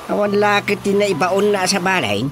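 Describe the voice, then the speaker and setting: A man's voice: sits high, normal speed, background noise. An actor playing a talkative older village man with an Ilocano accent, chuckling as he muses on death and faith in a radio drama. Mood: joking. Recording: music under the voice.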